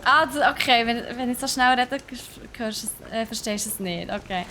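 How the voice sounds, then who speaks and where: A young Swiss woman with a Swiss German accent, talking through a game stream in a chatty, self-mocking way and tossing in English exclamations. Her voice sits a little high. A faint hiss of noise runs behind her. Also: moderate pace, sharp tone. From Switzerland. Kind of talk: live gameplay commentary.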